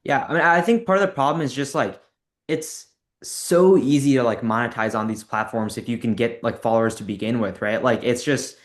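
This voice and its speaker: A man's voice: mid-range voice, brisk. A teenage male interviewer talking casually and hesitantly, peppering his questions with "like". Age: teens. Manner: hesitant, very frequent "like". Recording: echoey, roomy sound.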